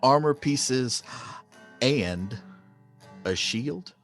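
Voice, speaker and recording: A man's voice: mid-range voice, lively intonation, drawn-out words, fairly bright tone, exaggerated voice. A man acting a naive, curious country customer in a comic radio advert, with rustic, puzzled questioning. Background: plucked guitar music.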